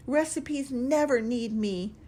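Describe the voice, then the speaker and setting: A woman reading aloud as a little slice of bread, a boy, meek and close to tears, his voice high and pleading. He sounds small and sorry for himself in a storybook kitchen. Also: slow pace, warm tone. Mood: hurt. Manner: meek, tearful, self-pitying. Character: timid lonely slice of bread, young male.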